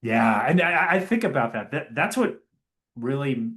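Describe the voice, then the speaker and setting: A man's voice: mid-range voice, lively intonation, moderate pace, a bit bright. An enthusiastic man hosts a casual interview. He affirms his guest often and trips over repeated words as he starts his points. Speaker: podcast host.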